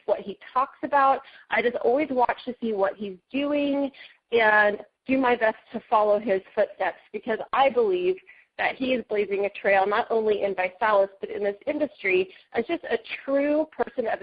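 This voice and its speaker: A woman hosting a team conference call, introducing a guest speaker with effusive, enthusiastic praise. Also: mid-range voice. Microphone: phone line. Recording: muffled phone line.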